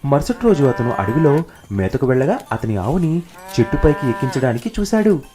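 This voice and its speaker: Animated male storyteller over music. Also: mid-range voice, a little fast. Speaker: story narrator. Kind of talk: scripted children's story narration. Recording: music behind the narration.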